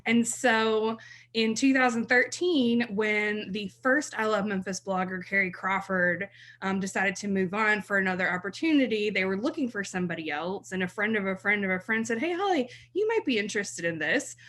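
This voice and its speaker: A woman's voice: mid-range voice, moderate pace, a bit bright. A woman in her thirties from South Mississippi, a blog writer talking as a podcast guest in a chatty way and laughing easily. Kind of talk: podcast interview.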